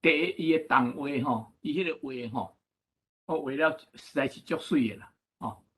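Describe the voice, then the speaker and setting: A man with a Taiwanese accent sharing informal comments at a meeting, halting and adding frequent tag particles to his phrases. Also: a little high, a bit bright. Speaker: discussion participant giving feedback.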